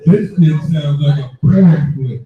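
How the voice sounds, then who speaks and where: A man's voice: on the high side, takes it slowly. A man bantering casually, blunt and teasing, cutting in with short, profane interjections. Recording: distant muffled mic, echoey room, crowd noise.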